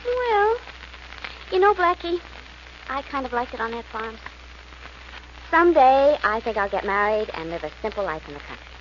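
Lively, plucky 1940s radio sweetheart. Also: female voice, fairly high pitch, normal speed, pauses often. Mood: dreamy, playful. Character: Mary, plucky, playful girlfriend of a detective. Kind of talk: 1940s radio drama acting. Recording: muffled, noisy old radio recording.

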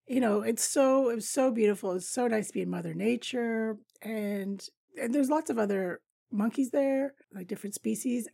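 A woman in her fifties with a raspy voice, hosting a podcast and chatting casually through a travel story, laughing often. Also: mid-range voice, mellow, no room sound. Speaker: podcast host.

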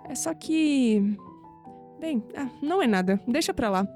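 An actress playing a young, proud, skeptical woman in an audio drama, with a Brazilian accent and an expressive delivery that turns hesitant when flustered. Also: high-pitched, a little slow, soft background music. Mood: hesitant, troubled. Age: young adult.

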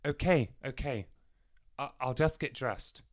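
A man's voice: mid-range voice, measured pace, no echo, studio quality, pitched above the performer's own voice, natural voice. A man voicing a groggy young man, just woken, who stammers and fumbles his words. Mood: flustered. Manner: stammering, flustered.

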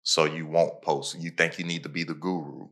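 Low-pitched male podcast host, casual and motivational. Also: little pitch movement, moderate pace, bright, forceful tone. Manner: motivational, talks in repeated punchy phrases. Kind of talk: casual conversational podcast talk.

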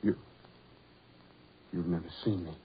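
An actor playing a tormented, lovelorn, self-loathing man in a melodramatic 1940s radio horror drama, brooding and halting in delivery. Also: deep voice, fairly even intonation. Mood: subdued, halting. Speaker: radio actor, lead and narrator. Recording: muffled, noisy 1940s radio recording.

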